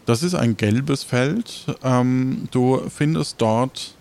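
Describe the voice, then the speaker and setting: A man's voice: mid-range voice, takes it slowly, gravelly, darkish tone. A man acting as game master, improvising the narration of an audio adventure game and answering a player.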